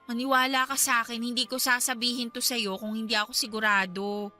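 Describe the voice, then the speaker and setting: A woman's voice: a little high, flat delivery, brisk, pushed and bright. An actress playing a hurt, defiant young pregnant woman in a Tagalog radio drama, speaking with emotional reproach. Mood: anxious, upset. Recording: music bed behind the voice.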